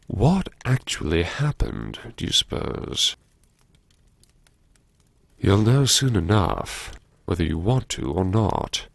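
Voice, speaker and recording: A man playing a brooding, wry academic who talks to himself in an intimate, sardonic audiobook monologue full of regret, with a gravelly voice. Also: low voice, very animated, no room sound, heavily acted. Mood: somber, musing. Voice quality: gravelly, creaky. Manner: sardonic, musing, self-reproachful, with sighs and pauses. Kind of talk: first-person audiobook monologue, intimate dramatic narration.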